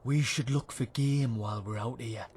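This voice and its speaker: A man's voice: mid-range voice, moderate pace, darkish tone, breathy edge. An actor playing a wry, easygoing male warrior companion in a fantasy video game, teasing and dry.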